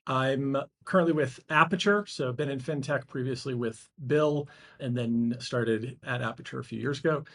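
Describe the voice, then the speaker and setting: A man from New England in a mid-pitched voice. He gives a quick and friendly introduction to the panel. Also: gravelly. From New England, United States. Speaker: panel speaker.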